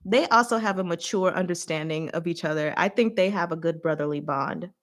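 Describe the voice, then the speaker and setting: Chatty, expressive woman hosting a book recap. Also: lowish voice, brisk. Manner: chatty, expressive, giggly, slangy. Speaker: host of a live book recap and discussion. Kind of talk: casual fan commentary to a live audience.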